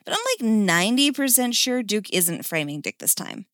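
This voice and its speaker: Excitable storybook girl in her youth as a woman reads her, bossy, casual, pitched up. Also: moderate pace, dry recording, clean studio sound. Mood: casual admission.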